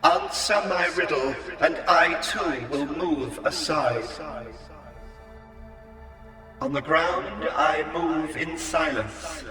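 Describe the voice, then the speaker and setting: A man voicing an enchanted talking riddle stone in a storybook, proud and sneering, reciting riddles in a solemn, drawn-out way. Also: on the high side, big pitch swings, sharp tone, far from the mic, pitched above the performer's own voice, heavily acted. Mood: solemn, mysterious. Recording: echoey hall reverb with music.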